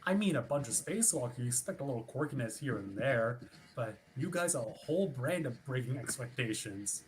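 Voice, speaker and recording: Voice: medium pitch, expressive, a little fast, slight background noise, lightly acted. An actor playing an officious, politely pushy ship's watch officer in a formal, wordy script reading. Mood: wry, skeptical. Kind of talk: theatrical script reading over a livestream. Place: remote online stream.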